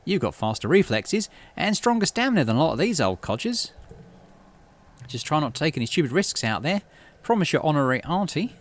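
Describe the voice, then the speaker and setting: A man's voice: on the high side, big pitch swings, fairly quick, pitched above the performer's own voice. A man voicing a folksy, caring woman who leads expeditions in a sci-fi game. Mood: encouraging, caring. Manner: folksy, plain-spoken.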